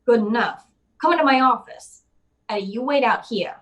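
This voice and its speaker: A woman's voice: fairly high pitch, normal speed, fairly bright tone, close to an everyday voice. A woman playing a tough, wisecracking, unflappable private detective in an old-time radio drama, with clipped, slangy delivery. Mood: firm.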